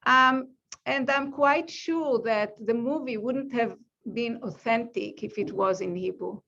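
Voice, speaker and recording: A woman moderating an online panel over a video call, speaking in a measured way with frequent ums. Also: on the high side, creaky, fairly bright tone. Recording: dull lo-fi call audio.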